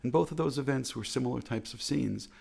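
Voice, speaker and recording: An American man speaks as a rabbi in a measured, earnest reflective talk. His voice is heavily gravelly with a husky edge. He leans on repeated phrases to drive his points home. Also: medium pitch, a little mellow. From United States.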